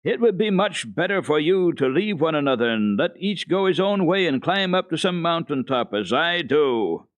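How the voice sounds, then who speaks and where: A man playing a wise, gruff old mountain grandfather who explains things in a measured, firm way, from an old radio recording. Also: a little high, animated, dry recording, pitched above the performer's own voice, close to an everyday voice. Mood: wild scorn.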